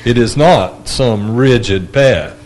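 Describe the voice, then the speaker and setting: Slow man with a big voice. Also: medium pitch, a little mellow, slight background noise. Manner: slow and deliberate. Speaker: church discussion group member. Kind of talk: casual group discussion, reading scripture aloud.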